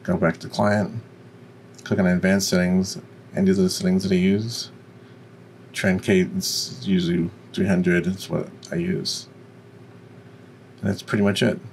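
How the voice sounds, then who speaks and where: A man with a deep voice giving an informal, explanatory software tutorial. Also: level tone, a little slow, creaky, long pauses, a little hiss or hum. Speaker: tutorial presenter.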